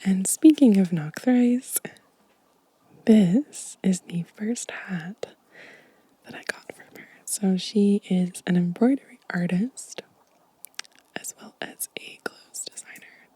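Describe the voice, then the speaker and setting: A woman whispering gently and softly in an ASMR show-and-tell style, breathy and unhurried. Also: mid-range voice, no room sound. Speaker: ASMR video creator.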